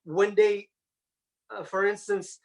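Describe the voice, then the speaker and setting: A man speaking as a religious lecturer, explaining points in a measured way with halting phrases, as in a scholarly talk and question session. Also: high voice, flat delivery.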